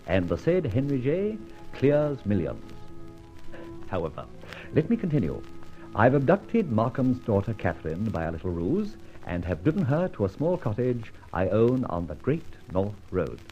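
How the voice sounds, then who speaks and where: An actor playing a masked, mocking yet courteous gentleman abductor in an old-time radio detective drama, with a theatrical, urbane, teasing delivery. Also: fairly low pitch, huge pitch swings, moderate pace, dull audio, faint music behind. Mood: smug, storytelling.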